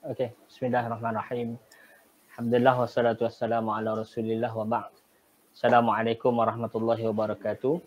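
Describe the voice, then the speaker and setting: A middle-aged man from Kedah in Malaysia with a northern Malaysian Malay accent, a guest telling his business story in a measured way, with pauses and fillers. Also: mid-range voice, monotone. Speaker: guest, travel agency business owner. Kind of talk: remote interview storytelling. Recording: dull lo-fi live stream audio.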